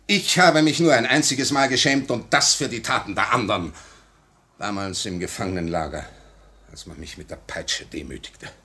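An actor playing a bitter, uncompromising Russian revolutionary in a declamatory 1950 radio play style. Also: male voice, on the low side, moderate pace, pushed and bright. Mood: bitter, wounded. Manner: declamatory, emphatic, rhetorical. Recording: vintage 1950 radio recording.

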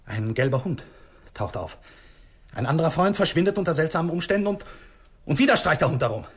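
Husky, frightened, halting gentleman. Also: on the high side, animated. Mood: uneasy, brooding. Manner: halting, repeating words. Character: frightened, sickly bourgeois doctor, self-pitying and indignant. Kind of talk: 1960s German radio drama acting.

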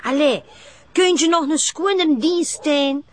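A woman's voice: high-pitched, slow pace. An actress playing a dreamy, romantic policewoman on watch in a radio drama. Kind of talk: radio drama acting in dialect.

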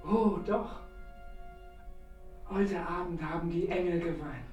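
A man's voice: high voice, slow, a little mellow. A man playing a mysterious, devoted admirer in a radio drama, speaking solemnly and tenderly. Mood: solemn devotion. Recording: echoey, distant voice over music.

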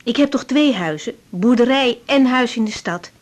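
A woman's voice: on the high side, lively intonation, normal speed, close to an everyday voice. An actress playing an earnest, troubled young female doctor in a naturalistic radio drama, speaking in a direct, insistent, conversational way. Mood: firm, helpful. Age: young adult.

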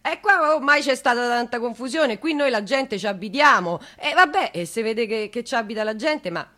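A chatty, flustered woman giving testimony in a radio play. Her bright, lively voice rambles, hesitates and doubles back on itself defensively. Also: a little high, moderate pace. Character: flustered, chatty woman witness recalling events to interviewer. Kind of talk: naturalistic radio drama monologue.

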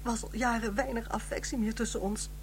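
A woman's voice: fairly high pitch, steady tone, quick pace, slight background noise, lightly acted. An actress playing a proud, bitter widow in her fifties, curt and formal, in a radio drama. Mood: subdued grief. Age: 50s.

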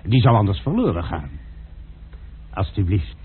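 A man's voice: fairly low pitch, big pitch swings, normal speed, breathy edge, exaggerated voice. A man playing a fawning, hapless male servant and cook in a radio drama, obsequious and always calling his master monsieur. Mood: coaxing. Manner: obsequious, says monsieur constantly. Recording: muffled phone-like old radio recording.

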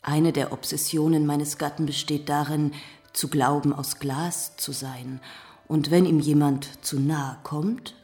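A woman playing a French queen in a radio play, speaking in a formal, measured way. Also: low-pitched, steady tone, darkish tone. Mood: composed, regal. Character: French queen speaking of her mad husband.

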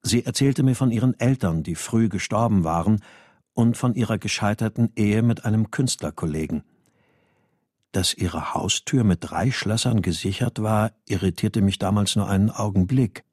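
An actor playing a troubled, weary writer in a radio drama, speaking in a sober, measured, introspective way. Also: male voice, on the low side, darkish tone, breathy edge, no echo, clean studio sound. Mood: reflective. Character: troubled male writer recounting his daughter's disappearance, brooding, weary. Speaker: narrator and lead character. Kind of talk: radio drama, introspective first-person narration and dialogue.

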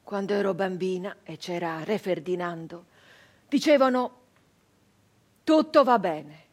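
An actress playing an embittered, defiant nineteenth-century southern Italian brigand woman in a theatrical monologue, with insistent repetitions and weighty pauses. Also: lowish voice, darkish tone. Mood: grave, scornful.

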